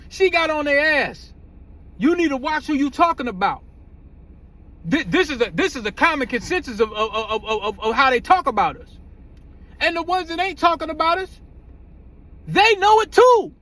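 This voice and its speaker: Very high-pitched man, blunt and profane. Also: sing-song, normal speed, long pauses, sharp tone, poor audio. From United States. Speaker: commentary host. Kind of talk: casual opinionated commentary.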